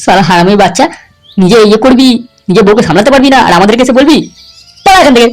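An actor voicing a coarse, excitable male henchman, scolding and brash, in a comedy cartoon. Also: mid-range voice, natural voice. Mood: scolding, threatening. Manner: brash, scolding, rapid-fire. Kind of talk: Bengali comedy cartoon voice acting. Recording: music behind the voice.

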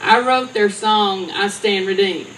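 A woman's voice: medium pitch, a bit bright. A woman in her fifties chatting easily about her work into a handheld mic. She is caught from a distance on an outdoor stage, with faint open-air hum around her. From Alabama. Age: 50s. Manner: chatty, quick to share her career. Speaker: songwriter contestant. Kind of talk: onstage interview.

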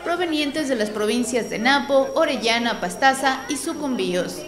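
High-voiced woman reading the news. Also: animated, crowd noise. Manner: formal, measured news read. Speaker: news reporter. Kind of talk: scripted news narration. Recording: voice-over with loud music bed.

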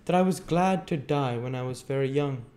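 A man's voice: on the high side, fairly even intonation, moderate pace, warm tone, pitched above the performer's own voice, close to an everyday voice. A man voicing a sickly, meek little orphan boy in a storybook, speaking in a faint, faltering, earnest way. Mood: earnest, pleading.

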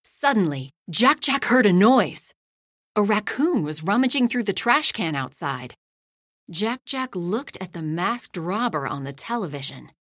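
Woman reading a kids bedtime book, slow and super expressive, dry sound. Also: mid-range voice, no echo. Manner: slow, lively storytelling, hushed at bedtime lines and loud in action. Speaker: storybook reader. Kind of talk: read-aloud children's bedtime story.

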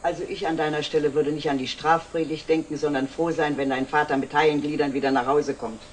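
A woman's voice: deep voice, flat delivery, quick pace, a little hiss or hum, natural voice. An actress playing an indulgent, unruffled middle-aged mother in a 1960s German TV drama, with a teasing manner. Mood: wry, indulgent.

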